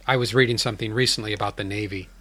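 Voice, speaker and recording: A man's voice: fairly low pitch, steady tone, racing, fairly bright tone. A man joking around and chatting casually about films and uniforms. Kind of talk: casual chat.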